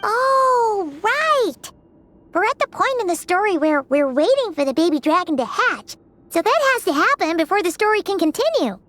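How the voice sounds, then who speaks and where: A squeaky, excitable fairy sprite. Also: female voice, no echo, exaggerated voice. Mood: chatty. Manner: eager, chattering, quick to exclaim. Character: small excitable female fairy companion. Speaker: companion character.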